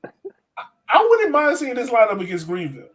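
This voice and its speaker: A man's voice: very high-pitched, huge pitch swings, normal speed, fairly bright tone. A man hosting a casual sports fan podcast, talking in a conversational, self-questioning way. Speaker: podcast host.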